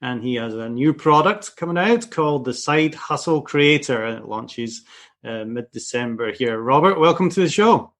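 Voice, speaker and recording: A man's voice: mid-range voice, expressive, normal speed, a bit bright. A man with a Scottish accent hosting an interview show in an easygoing, casual way, with the odd filler. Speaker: podcast host.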